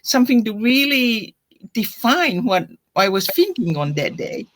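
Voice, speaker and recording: An older woman from Tonga with a Tongan accent, telling her personal story in an interview in a reflective, halting way. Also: a little high, big pitch swings. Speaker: deacon, interview guest.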